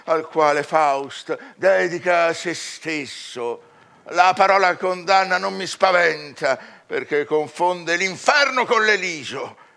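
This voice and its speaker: A proud, high-voiced scholar-necromancer. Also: male voice, gravelly, sharp tone, exaggerated voice. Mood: boastful, defiant. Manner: grandiose, declaiming, questioning. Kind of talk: theatrical radio drama acting in verse.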